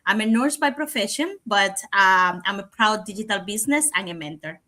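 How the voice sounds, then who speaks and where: A woman from Cuba introducing herself in a friendly, brisk way. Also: mid-range voice, animated, bright, forceful tone.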